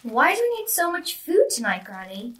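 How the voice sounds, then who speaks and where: A woman's voice: sits high, big pitch swings, normal speed, fairly bright tone, distant mic. A woman playing an anxious, questioning young girl with a plaintive manner in a children's story. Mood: worried, curious.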